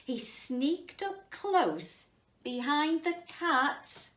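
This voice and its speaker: A woman with a fairly high voice reads to children at a slow pace. She speaks to her young listeners directly, as at a school storytime. The recording is roomy, picked up some way from the mic. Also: animated, fairly bright tone. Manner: unhurried, addressing children directly. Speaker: headteacher reading to children. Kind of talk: children's storytime reading and explaining. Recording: roomy echo, distant mic.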